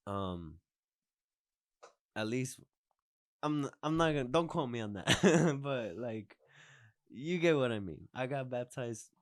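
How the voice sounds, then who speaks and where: A man's voice: medium pitch, expressive, slow pace, pauses often, dry recording, studio quality. A man in his twenties hosting a podcast, chatting casually, rambling and restarting his sentences. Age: 20s. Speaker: podcast host. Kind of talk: casual chat.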